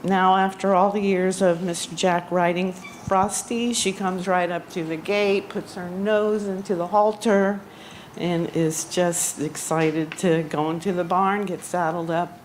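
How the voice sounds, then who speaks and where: A woman giving a memorial tribute at a gathering, speaking haltingly with frequent pauses and ums. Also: lowish voice, steady tone, a little hiss or hum, low-quality recording. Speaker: eulogy speaker. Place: outdoor barn gathering.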